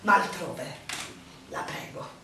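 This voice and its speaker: A woman's voice: takes it slowly, close to an everyday voice. An actress playing a proud, scolding village innkeeper woman in a theatrical radio drama, condescending and admonishing. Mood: stern warning. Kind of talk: stage-like radio drama acting. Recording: very echoey room, distant mic, faint noise.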